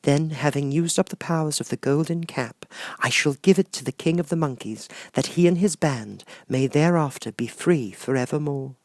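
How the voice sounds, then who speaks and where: Man voicing gentle, high-pitched good witch Glinda. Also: dry recording, natural voice. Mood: kindly, assured. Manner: gentle, gracious, measured. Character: kindly, beautiful young good witch Glinda.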